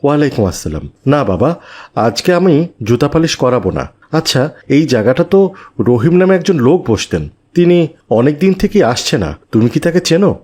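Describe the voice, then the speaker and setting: A man voicing a kindly, wealthy, fatherly businessman in a children's moral cartoon, gentle and sympathetic. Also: on the high side, very animated, fairly quick. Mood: friendly.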